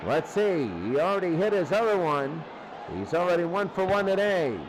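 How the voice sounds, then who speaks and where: A man's voice: high-pitched, lively intonation, moderate pace. An American man calling a football game play by play as a live radio announcer. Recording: noisy game broadcast with crowd roar. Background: loud stadium crowd.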